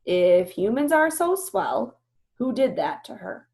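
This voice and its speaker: A woman's voice: a little high, sing-song, slow pace, gravelly, darkish tone, voice pushed up, full character voice. A woman voicing a stubborn, skeptical male animal in a read-aloud storybook, blunt and incredulous. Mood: doubtful.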